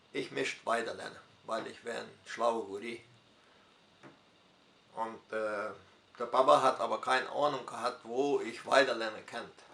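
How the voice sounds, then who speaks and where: A gravelly older man with a Hunsrückisch dialect accent recounts his life at an easy, storytelling pace. He speaks at a middle pitch, and the echoey room around him is clearly audible. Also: a bit bright. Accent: Hunsrückisch German of southern Brazil. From southern Brazil. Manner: unhurried storytelling with dialect forms and hesitations. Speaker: retired pastor interviewee. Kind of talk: personal life story in interview.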